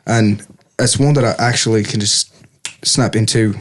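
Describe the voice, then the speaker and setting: A man guesting on a podcast, telling stories with a joking manner and a slightly husky voice. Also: fairly low pitch, steady tone, normal speed. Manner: jokey storyteller who slips into put-on accents. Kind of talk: casual podcast chat. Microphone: studio podcast headphones and mic.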